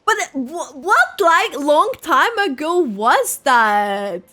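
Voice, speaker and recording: A woman's voice: sits high, very animated, drawn-out words, bright, forceful tone. A woman giving casual, giggly, slangy live reaction commentary as a gaming YouTuber.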